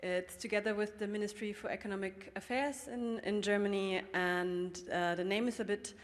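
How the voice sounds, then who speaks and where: A woman's voice: mid-range voice, steady tone, moderate pace. Composed woman panelist in a hall. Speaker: panelist, fund co-founder. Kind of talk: conference panel introduction. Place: large conference hall. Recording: long hall reverb.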